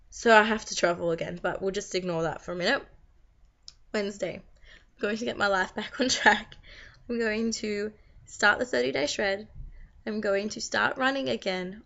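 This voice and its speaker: Australian woman, young, croaky sick voice, tired rambling vlog chat, slightly dull lo-fi sound. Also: mid-range voice, fairly bright tone. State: croaky from a long cold. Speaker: vlogger. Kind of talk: casual chat to camera.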